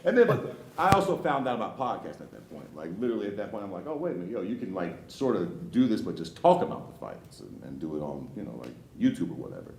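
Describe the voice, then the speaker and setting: A man in his thirties from Dayton, a podcaster, telling stories in casual talk-show chat with a deep voice. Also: wildly swooping pitch, fast, creaky, hardly any pauses, roomy sound, slight background noise. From Dayton, Ohio. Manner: laid-back, joking storyteller. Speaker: podcaster guest.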